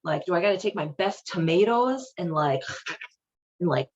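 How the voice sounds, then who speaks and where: A woman giving casual, irreverent commentary as a host, with a sardonic, halting, colloquial delivery. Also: lowish voice, expressive, highs cut off, a little hiss or hum. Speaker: host of a video series on leaving religion.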